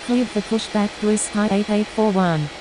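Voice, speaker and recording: A woman voicing a brisk airline pilot in a game, making scripted radio calls in clipped procedural phrasing. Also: medium pitch, natural voice. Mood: businesslike. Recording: noisy capture with steady whirring hiss.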